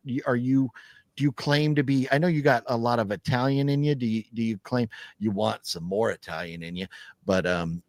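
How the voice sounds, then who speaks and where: A man's voice: medium pitch, dry recording, studio quality. Rambling, cussing man in his fifties. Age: 50s. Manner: rambling, profane and laughing. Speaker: podcast host. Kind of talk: casual livestream chat reading viewer comments.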